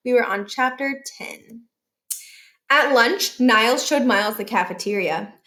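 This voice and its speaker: A woman reading a children's book aloud in an expressive storytelling style. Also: fairly high pitch, unhurried. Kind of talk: expressive read-aloud narration.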